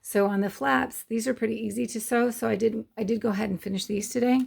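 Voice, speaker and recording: A middle-aged woman, hoarse from losing her voice, hosts a casual sewing tutorial and explains her steps in a rambling, hesitant way with many fillers. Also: fairly high pitch, steady tone, quick pace, a little mellow. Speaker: sewing channel host.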